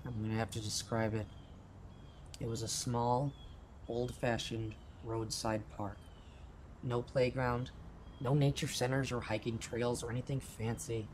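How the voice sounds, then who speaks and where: A man's voice: mid-range voice, darkish tone, slight background noise, close to an everyday voice. A man playing a hot-headed, bitter teenage boy in an amateur radio play, with an informal, grumbling manner. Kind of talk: amateur radio play acting, first-person narration.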